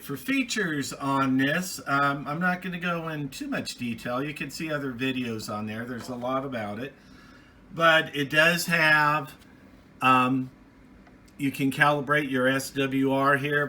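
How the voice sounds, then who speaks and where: Slow-talking man with a bright voice. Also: mid-range voice, fairly even intonation. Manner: unscripted, halting with restarts and trailing phrases. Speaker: radio hobbyist reviewer. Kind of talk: casual hands-on product review.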